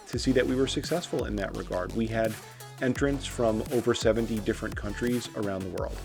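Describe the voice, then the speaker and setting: Man, low voice, organizer, measured, interview clip, soft music underneath. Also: vocal fry. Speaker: competition organizer. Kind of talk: interview soundbite.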